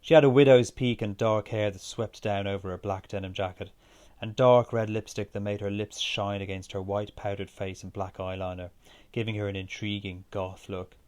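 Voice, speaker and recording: A man's voice: fairly low pitch, steady tone, moderate pace, a little mellow. A man reading his own literary short story as scripted narration.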